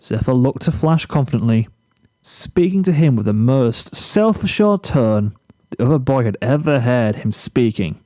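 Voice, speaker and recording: A man narrates a written story at a slow, measured pace. His tone is mellow and soft-edged, and the recording is very dry. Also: medium pitch, expressive, no echo.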